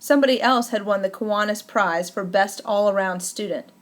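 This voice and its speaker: A woman reading a first-person memoir aloud as an audiobook, in a measured, deliberate way with careful emphasis. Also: medium pitch. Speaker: audiobook narrator.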